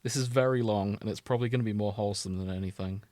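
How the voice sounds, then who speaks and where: A man's voice: fairly low pitch, very fast, a little mellow, no room sound. A man co-hosting a casual podcast, chatting and reading fan fiction in an amused, wry, sweary way. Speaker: podcast co-host.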